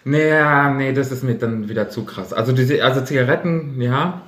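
A man's voice: medium pitch, moderate pace, a bit bright. Teasing man chatting between snacks, roomy. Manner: teasing, chatty, talks while eating snacks. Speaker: podcast co-host. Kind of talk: casual chat.

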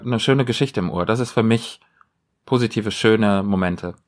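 A man's voice: on the low side, moderate pace. A man chatting casually as a podcast co-host, rambling along in a chatty way. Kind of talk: casual chat.

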